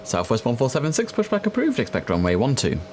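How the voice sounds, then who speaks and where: A young man chatting casually through a simulator game, relaxed and filling pauses with ums and uhs. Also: medium pitch, a little hiss or hum. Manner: chatty, relaxed, with frequent fillers. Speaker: gaming streamer. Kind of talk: casual gameplay commentary.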